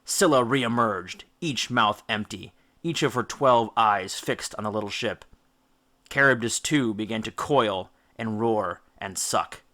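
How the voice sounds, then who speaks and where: A man reading an epic story aloud as an audiobook, with theatrical, emphatic delivery and deliberate dramatic stresses. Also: fairly low pitch, sharp tone. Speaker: audiobook narrator. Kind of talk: dramatic scripted storybook narration.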